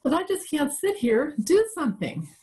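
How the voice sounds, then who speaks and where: A woman's voice: high-pitched, big pitch swings, normal speed, dark tone. A woman playing an anxious, pleading secretary in old-time radio melodrama. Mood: frantic pleading. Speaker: amateur radio play actor. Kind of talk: 1940s radio melodrama acting. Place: at home, recorded over video call.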